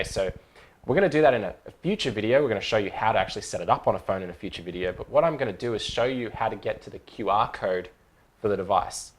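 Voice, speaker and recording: Man, thirties, medium pitch, informal instructor, step-by-step explaining, casual tutorial presenter. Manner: informal, explanatory, checks along with okay.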